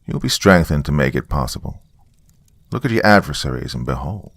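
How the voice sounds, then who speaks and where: A very deep, solemn male angel acted out by a male narrator speaks in grave pronouncements. He sounds commanding, and the recording is dry and close, like an audio story. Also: lively intonation, a little fast, gravelly, fairly bright tone, no room sound, heavily acted.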